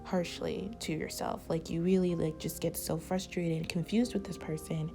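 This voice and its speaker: A woman's voice: on the low side, fairly even intonation, normal speed, music in the background. A woman doing a casual tarot reading, rambling with lots of fillers and losing her voice. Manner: rambling, many "like" fillers, advising tone. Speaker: tarot reader.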